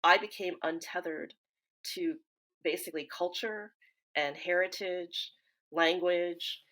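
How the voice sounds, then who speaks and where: Low-voiced woman speaking thoughtfully. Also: fairly even intonation, fairly bright tone. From East Coast of the United States. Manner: thoughtful, measured, with frequent fillers and restarts. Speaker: interview guest, grief support founder. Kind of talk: reflective interview talk.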